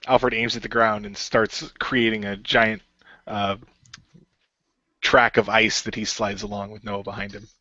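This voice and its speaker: A man's voice: fairly low pitch, level tone, a little slow, pushed and bright, no room sound. Man narrating action, dry slightly dull sound. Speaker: radio play performer. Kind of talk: improvised narration of an action radio play.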